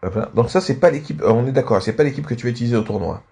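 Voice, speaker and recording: A man's voice: medium pitch, expressive, racing, darkish tone. A man hosting and casually commentating on video game matches, slightly stuffed up with a cold. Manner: frequent throat clearing and coughing.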